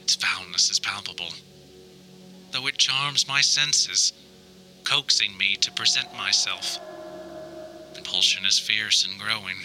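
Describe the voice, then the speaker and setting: A man's voice: low voice, a little slow, pauses often, sharp tone, soft background music, full character voice, poor audio. A man voicing a possessed mother and religious zealot in an audio drama, theatrically preaching doom with whispers and shrieks. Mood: ominous, entranced. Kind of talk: dramatic audio diary monologue.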